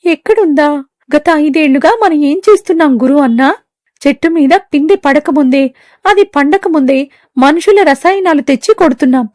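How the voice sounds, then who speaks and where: A woman's voice: high-pitched, fairly quick, darkish tone, no echo, clean studio sound, close to an everyday voice. A woman voicing an earnest young bird who speaks in a pleading, reproachful, impassioned way.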